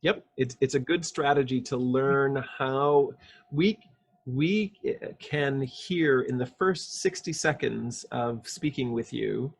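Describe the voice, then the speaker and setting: A man's voice: medium pitch, slow pace, creaky, slightly rough. Man patiently explaining over a video call. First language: English. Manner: patient, explanatory, demonstrates sounds. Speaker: English speech coach being interviewed. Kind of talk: casual video call interview.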